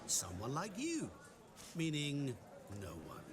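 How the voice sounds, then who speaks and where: A sly, all-knowing stranger holds court in a game cutscene. His smooth, mocking delivery rolls up and down in pitch. A murmuring crowd sits behind him. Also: wildly swooping pitch, takes it slowly, heavily acted. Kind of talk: video game cutscene acting.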